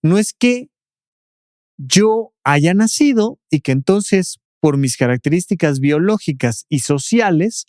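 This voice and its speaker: A man talks as a doctor on a podcast, explaining patiently and often closing a point with a questioning tag. His voice sits a bit high, and the studio sound is dry and close, with no room around it. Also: animated, slow pace, darkish tone, no echo. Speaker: doctor and expert guest.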